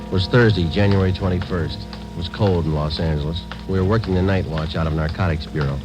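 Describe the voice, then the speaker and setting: An actor playing a laconic, businesslike police detective with clipped, matter-of-fact speech in a 1950s radio drama. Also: male voice, low voice, fairly even intonation, brisk, music in the background, close to an everyday voice. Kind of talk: 1950s radio drama, first-person narration and dialogue. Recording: noisy old 1950s radio recording.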